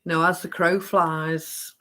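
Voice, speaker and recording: Chatty woman, slightly low voice. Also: level tone, measured pace, sharp tone. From Lancashire, England. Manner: chatty, repeats herself, stumbles over words. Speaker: live stream co-host. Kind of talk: casual live chat reading viewer comments.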